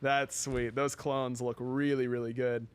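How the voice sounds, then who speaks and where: A man's voice: mid-range voice, flat delivery, a little slow, smooth. A man hosting a casual video game showcase, commenting in an easygoing, approving way. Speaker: show host.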